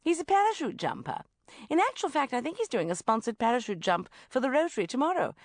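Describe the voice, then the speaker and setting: Gushing, breathless mum, middle-aged, pitched very high and swooping, put on by a woman reader. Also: sing-song, fast, no echo, clean studio sound, full character voice.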